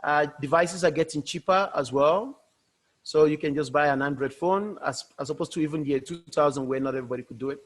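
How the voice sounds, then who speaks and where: Guy w a giving a research talk on a video call, bit higher voice, loose and chatty, keeps rephrasing. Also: brisk. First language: Yoruba. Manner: informal and loosely explaining, often rephrasing mid-sentence. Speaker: researcher presenting at a workshop. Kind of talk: academic conference presentation.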